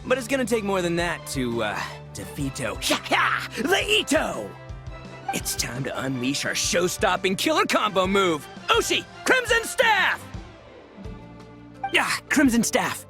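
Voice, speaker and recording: A man's voice: sits high, wildly swooping pitch, measured pace, music in the background, full character voice. A man playing a brash, boisterous young gang boss fighter in a video game, with a cocky, slangy, showboating manner. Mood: cocky, hyped. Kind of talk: animated video game voice acting.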